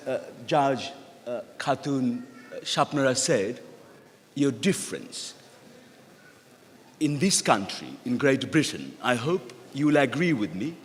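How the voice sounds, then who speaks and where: A middle-aged man with a Bangladeshi accent addresses an awards ceremony in a large reverberant hall. His voice is mid-pitched, and he speaks in measured, halting phrases, encouraging his listeners as a guest speaker. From rural Bangladesh. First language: Bengali. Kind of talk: motivational speech at an awards ceremony. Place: large indoor event hall. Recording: echoey hall with long reverb.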